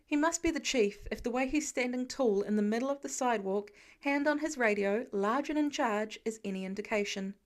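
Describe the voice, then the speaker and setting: A woman reading scripted fiction as an expressive audiobook-style narrator. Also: a little high, brisk, few pauses. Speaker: podfic narrator.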